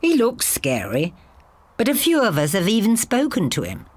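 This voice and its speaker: A woman's voice: medium pitch, huge pitch swings, normal speed, pitched above the performer's own voice. A woman voicing a cocky, exasperated know-all boy in an audiobook story, offhand and emphatic. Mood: matter-of-fact, eager. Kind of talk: audiobook character dialogue.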